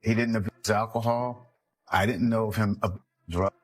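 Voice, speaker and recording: Male interviewee, slightly low voice, hesitant, reflective, conversational. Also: fairly even intonation, husky. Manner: hesitant, measured.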